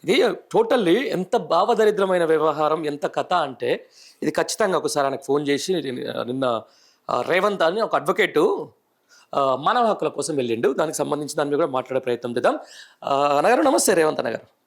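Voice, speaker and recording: A man's voice: fairly high pitch, animated, fairly quick. A middle-aged man with a Telangana accent, hosting live political news commentary with emphatic, indignant rhetorical questioning. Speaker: news show host, journalist.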